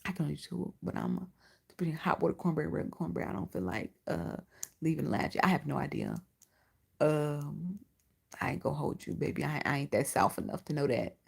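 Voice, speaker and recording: A woman's voice: low-pitched, brisk, vocal fry, breathy edge. A woman with a Chicago accent and a lisp, chatting bluntly and profanely on a livestream as its host. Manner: blunt, profane and animated, answering chat. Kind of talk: casual livestream chat.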